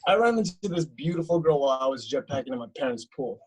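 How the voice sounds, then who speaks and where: A self-absorbed, moneyed young man, played by a male actor in a comedy script read. His voice sits on the high side for a man and has a husky grain. He delivers his lines with petty, dramatic indignation. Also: expressive, fast, darkish tone, rough recording. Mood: smug, nostalgic. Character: spoiled, rich young man nursing petty grudge. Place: recorded remotely at home on a video call.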